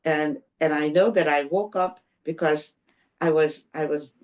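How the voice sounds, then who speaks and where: An older woman taking part in a writing group, chatting casually in a hesitant, self-deprecating way with halting restarts. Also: low-pitched, steady tone, a little mellow. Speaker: workshop participant.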